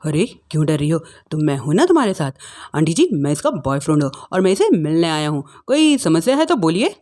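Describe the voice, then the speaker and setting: A woman's voice: sits low, sing-song, a little fast, a little mellow, voice pushed down. A woman voicing a cocky young boyfriend, brash and taunting, in a family story.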